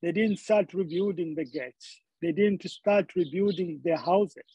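A man's voice: sits high, a little slow, dark tone, breathy edge, dry recording, low-quality recording. A man from Zambia preaching a sermon, often repeating words as he searches for the next phrase.

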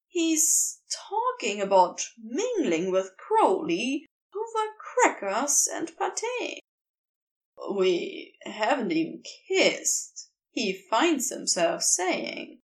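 A woman reading a story aloud as an expressive narrator, recorded a little way from the microphone. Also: high-pitched, recorded from a distance. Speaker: fan fiction audio reader.

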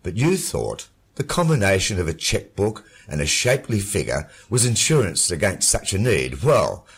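An actor playing a cynical dead husband who mocks his widow in a warm, controlled, lilting voice. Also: very deep voice, very animated, moderate pace, creaky, breathy edge, exaggerated voice. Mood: mocking. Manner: sardonic, taunting. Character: mocking dead husband, middle-aged man, cynical.

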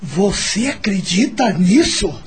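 Animated, supportive husband. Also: male voice, sits high, slow pace, breathy edge, exaggerated voice. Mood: resolved. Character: supportive husband, worried father of sick daughter. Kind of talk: radio soap opera acting.

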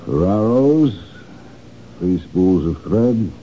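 A store manager with a very low, dark voice, asking polite but probing questions at an even pace. The sound is dull and lo-fi like an old radio play, with a faint hiss. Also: male voice, heavily acted. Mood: calm, probing. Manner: measured, politely probing, hesitant fillers. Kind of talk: 1970s radio drama acting.

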